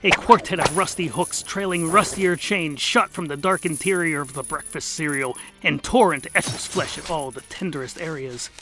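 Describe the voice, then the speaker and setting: A man's voice: a little high, normal speed, few pauses. A man narrating a comic audio drama in an arch, theatrical and wry style. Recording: music under the voice. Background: background music.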